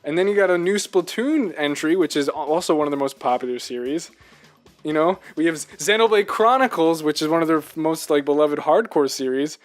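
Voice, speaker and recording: A man with a slightly high voice talks casually about games as a podcast co-host. He is earnest and emphatic, like a devoted fan making his case with rhetorical questions, over faint music. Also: a little fast. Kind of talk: casual video game discussion.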